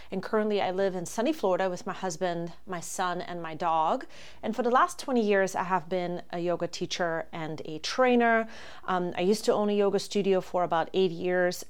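A woman in her forties from Germany, hosting a solo astrology podcast and explaining things calmly, with frequent ums. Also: fairly low pitch, clean tone.

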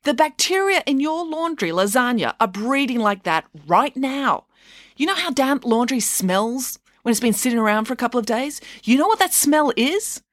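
A woman's voice: high-pitched, lively intonation, normal speed, no room sound, clean studio sound, voice pushed up. A woman voicing a wry, insistent mother who explains things didactically in a children's story. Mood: explaining.

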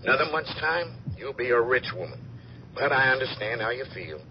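Guy playing oily folksy con man, super lively pitch, muffled hissy old radio show. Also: a little fast, natural voice. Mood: soothing, coaxing. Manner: ingratiating, folksy, falsely modest. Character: folksy, smooth-talking male swindler courting a widow's money. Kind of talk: 1950s radio drama acting.

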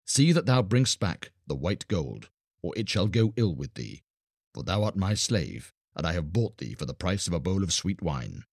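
A sinister old magician from a storybook, voiced by a man, cruel and commanding. He lays down stern threats in old-fashioned phrases, in a very low, husky voice with a dry, close sound. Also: big pitch swings, moderate pace, dry recording, full character voice. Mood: stern, menacing. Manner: stern, threatening, archaic speech.

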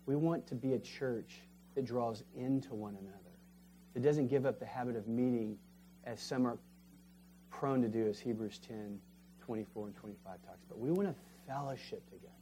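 A man preaching a sermon as pastor and leading a closing prayer, fervent, swinging from emphatic peaks to hushed prayer. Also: medium pitch, normal speed, slight background noise. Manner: fervent, rising to emphatic peaks then dropping to quiet prayer. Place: church sanctuary.

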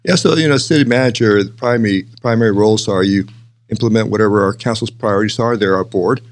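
A man's voice: lowish voice, fast talker. An older man from Texas answering interview questions in a conversational, explanatory way, often checking in with "right?" and "you know". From El Paso, Texas, United States. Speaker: interview guest, city manager.

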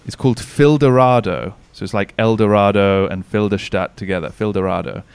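Chatty man with a low, smooth voice. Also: a little fast, no room sound. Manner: chatty, rambling, many restarts and fillers. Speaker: podcast guest, sauna business owner. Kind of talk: casual podcast interview. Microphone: remote recording call.

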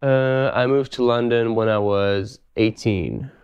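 This is a man with a smooth, resonant voice. He sits at a middle pitch and tells his stories loosely, with plenty of casual fillers. He sounds laid-back and conversational as a podcast guest. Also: slow pace, highs cut off. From Switzerland. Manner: relaxed, easygoing storytelling with frequent "you know". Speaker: podcast guest, live show dancer. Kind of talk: casual interview chat.

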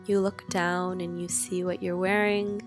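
A woman leading a guided meditation in a soothing, gentle way, with drawn-out phrases and pauses. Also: fairly low pitch, flat delivery. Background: guitar music.

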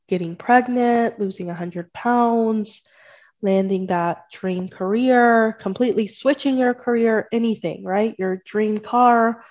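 A woman's voice: mid-range voice, a little mellow. Woman, podcast host, congested cold voice, chatty, keeps asking "right?", muffled lo-fi audio. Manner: chatty, often checking in with "right?" and "okay?". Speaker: podcast host and spiritual life coach. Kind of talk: casual solo podcast talk. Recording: dull lo-fi sound.

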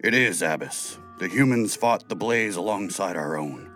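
An actor playing a big, loyal groundskeeper creature who reports in a solemn, respectful, formal way in a children's audio drama. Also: male voice, sits low, animated, moderate pace, a bit bright, faint music behind.

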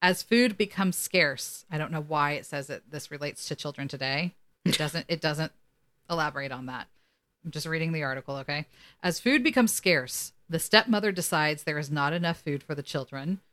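A woman's voice: low-pitched, normal speed, a bit bright. A woman co-hosting a casual podcast, reading articles aloud and dropping in wry asides. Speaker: podcast co-host.

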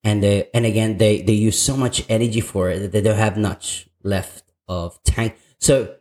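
A man's voice: on the low side, steady tone, moderate pace, a little mellow. A man from Australia hosting a podcast interview, enthusiastic and prone to restarting his sentences. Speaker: podcast host.

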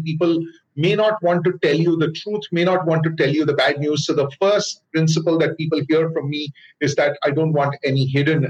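A middle-aged man with an Indian accent speaks in a fairly high voice. He answers interview questions thoughtfully and steadily, often pausing on "uh" as he gathers his points. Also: poor audio. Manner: thoughtful, with frequent "uh" pauses. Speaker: business leader guest.

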